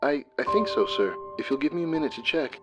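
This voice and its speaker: A man playing a polite, halting male hospital caller in a dramatic reading, heard through a telephone filter. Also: on the high side, lively intonation. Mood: polite, hesitant. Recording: muffled phone-like sound, music underneath.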